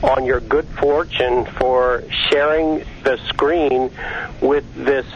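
A man from Georgia calling in to a talk show, speaking slowly with many fillers and pauses. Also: medium pitch, lo-fi sound. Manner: slow, halting, many fillers, flattering. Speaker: caller. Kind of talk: call-in radio talk.

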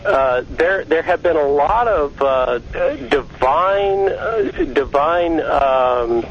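A male caller from Georgia talks slowly and haltingly, stopping often for fillers and restarts. His voice comes through a dull, lo-fi line with a faint hiss underneath. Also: on the high side. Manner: slow, halting, many fillers, flattering. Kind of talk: call-in radio talk.

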